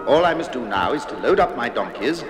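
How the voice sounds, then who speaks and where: A man's voice: mid-range voice, sing-song, brisk, faint music behind, close to an everyday voice. An actor playing a greedy, easily flustered man in a theatrical children's story record from the 1960s. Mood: gloating, eager. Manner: theatrical, increasingly frantic and pleading. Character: greedy, flustered Persian man trapped in a cave. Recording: long cavernous reverb.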